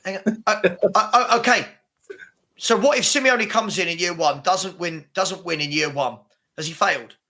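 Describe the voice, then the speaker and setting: High, bright-voiced man arguing insistently. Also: moderate pace. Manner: insistent, challenging. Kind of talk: heated panel debate.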